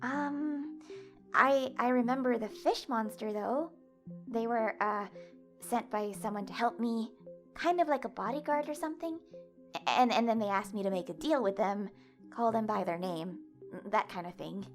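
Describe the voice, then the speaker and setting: A woman playing an anxious, earnest young woman in an audio drama, with a halting, flustered delivery. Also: fairly high pitch, soft background music, lightly acted.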